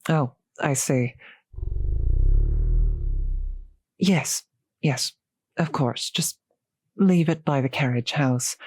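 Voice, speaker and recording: A centuries-old vampire host, acted by a woman in an intimate roleplay audio drama. The speech is unhurried and genteel, solicitous toward a guest, with polite old-fashioned phrasing. The sound is close and dry. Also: low voice, a little mellow, no room sound. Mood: polite, reassuring.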